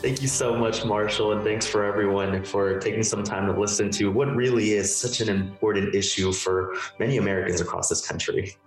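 Young man, born in Peru and raised in Texas, low pitch, echoey room, interview guest. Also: fairly even intonation, a little fast, hardly any pauses, soft background music. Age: young adult. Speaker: podcast guest, meteorologist. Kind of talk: conversational interview. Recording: roomy echo on voice.

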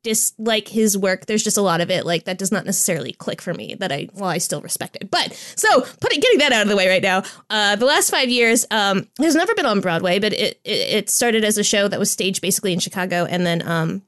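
A woman in her thirties with a mid-pitched voice, co-hosting a podcast. She talks in long, chatty, rambling stretches and laughs easily. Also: expressive, fairly quick, hardly any pauses, fairly bright tone. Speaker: podcast co-host. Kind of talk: casual podcast chat.

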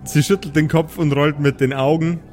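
Male game master for improvised role-play audio, somewhat raised pitch, light music bed. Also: steady tone, moderate pace, darkish tone.

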